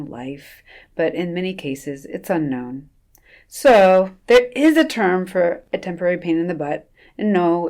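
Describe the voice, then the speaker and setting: A woman doctor reading a scripted medical word-of-the-day segment with wry, deadpan jokes. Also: lowish voice. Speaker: resident physician presenting a segment.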